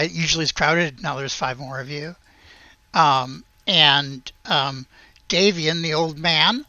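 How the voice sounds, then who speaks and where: A game master improvising tabletop narration in a casual, halting, conversational way. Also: medium pitch, pushed and bright, no room sound, dull audio.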